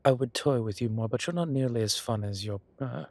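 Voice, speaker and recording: A man's voice: mid-range voice, a little fast, darkish tone, dry recording, close to an everyday voice. A man playing an urbane, playful boss in a roleplay audio drama, with arch, refined wording and a fond, theatrical manner. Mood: fond, hesitant.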